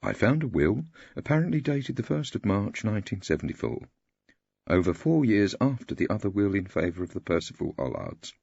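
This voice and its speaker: A man playing a lugubrious, formal older solicitor who speaks in a measured, eloquent way in an audiobook story. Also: fairly low pitch, very animated, creaky, darkish tone, slightly rough, dry recording, highs cut off, pitched above the performer's own voice, heavily acted. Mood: solemn.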